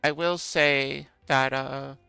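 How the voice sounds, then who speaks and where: A man talks casually with his viewers while he plays, musing aloud and poking fun at himself. His voice is bright and smooth, with some vocal fry at the ends of phrases. The sound is close and dry at a desk mic. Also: medium pitch, fairly even intonation, slow pace, dry recording, faint music behind. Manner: musing, self-deprecating, answers chat. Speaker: streamer playing a game. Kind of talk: casual gameplay commentary, chatting with viewers.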